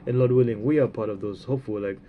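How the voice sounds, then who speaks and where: A man with a stuffy, runny nose giving a rambling spiritual talk, halting and full of fillers, often ending phrases with "man". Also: on the low side, phone-quality sound, a little hiss or hum. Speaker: religious speaker.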